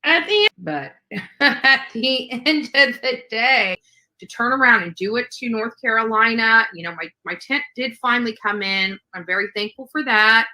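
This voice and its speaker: Bright-voiced woman rambling on a livestream. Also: on the high side, lively intonation, normal speed, poor audio. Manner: repetitive, rambling, leaning on stock phrases. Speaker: online video commentator. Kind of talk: unscripted livestream commentary.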